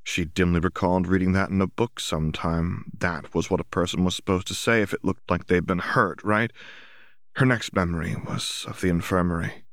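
Deep-voiced man narrating an audiobook. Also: fairly even intonation, normal speed, a bit bright, no echo, clean studio sound. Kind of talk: scripted literary narration.

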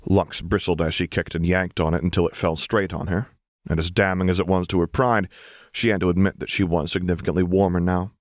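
A man's voice: sits low, fairly even intonation, a little fast, no echo, studio quality. A man reading scripted fiction as an audiobook narrator.

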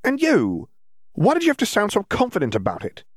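Audiobook role: male narrator as brash teenage schoolgirl, blunt and teasing, pitched up, dry close sound. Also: huge pitch swings, fairly quick, husky, no room sound, full character voice. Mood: exasperated. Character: brash, feisty teenage schoolgirl, quick to tease.

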